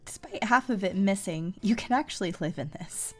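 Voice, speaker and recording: A woman's voice: medium pitch, lively intonation, moderate pace, faint music behind. A woman giving casual gameplay commentary as she streams a video game. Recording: loud noise, clicks.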